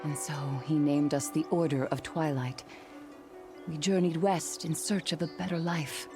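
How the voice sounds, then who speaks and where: A woman playing a devout, proud and stubbornly loyal sect follower in a video game cutscene, with solemn, measured phrasing. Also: deep voice, steady tone. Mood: reverent, earnest. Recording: prominent game music behind the voice.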